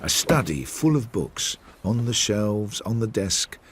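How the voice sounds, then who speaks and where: A man's voice: lowish voice, sing-song, unhurried, background noise. An actor playing a Victorian London detective sergeant, narrating his investigation in a radio drama with a dry, clipped, understated manner. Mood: dry, reflective.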